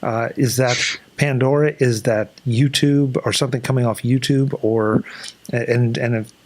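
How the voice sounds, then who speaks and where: A man's voice: medium pitch, steady tone, unhurried, a little hiss or hum. An older man, hosting a conversational interview over a video call from a small office, close to a desk microphone. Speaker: show host interviewer.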